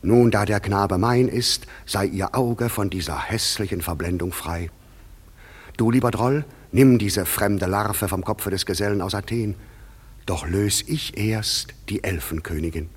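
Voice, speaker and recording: An actor playing a proud, commanding fairy king in a classic radio play, declaiming verse in a measured, authoritative way. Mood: resolute, commanding. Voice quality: husky, low.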